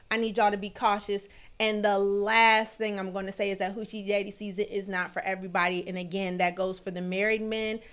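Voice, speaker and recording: A woman in her thirties hosting a solo livestream, talking in a sassy, emphatic, playfully scolding way with a booming voice. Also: fairly bright tone. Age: 30s. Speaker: livestream show host.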